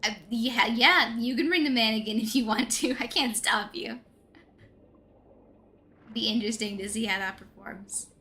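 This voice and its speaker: A woman's voice: on the high side, moderate pace, pushed and bright. A woman live streamer chatting casually and thinking aloud as she comments on a game.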